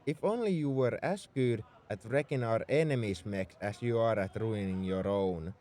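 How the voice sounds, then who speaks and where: A man's voice: on the low side, moderate pace, a little mellow, lightly acted. A man playing a tsundere mech engineer in a roleplay audio drama, scolding and sarcastic with worry underneath. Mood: sarcastic, incredulous. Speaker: voice actor.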